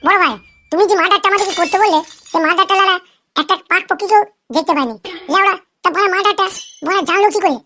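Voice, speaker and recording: A performer playing a male gangster's sidekick from a comic cartoon. The voice is high and extremely fast, rambling through boasts and wheedling flattery in crude slang, with faint noise behind it. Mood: puzzled, complaining. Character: foul-mouthed, fawning gangster henchman cartoon character.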